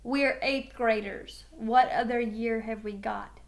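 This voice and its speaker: A woman acts out a cocky eighth-grade football jock from a storybook, high-voiced and pushy. He brags about himself as if he were a legend. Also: fairly even intonation, slow. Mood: menacing, insistent. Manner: boastful, talks of himself in third person. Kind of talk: storybook character voice.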